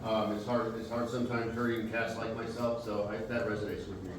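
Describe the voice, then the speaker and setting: A man speaks casually to an audience as a joking guest speaker. His pitch is average. The recording is distant, with heavy echo and clear noise. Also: little pitch movement, brisk. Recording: echoey room, far mic, noisy.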